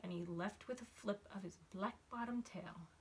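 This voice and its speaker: A woman's voice: medium pitch, moderate pace, darkish tone, breathy edge. A woman narrating a rhyming children's storybook expressively. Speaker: storybook reader, school staff member.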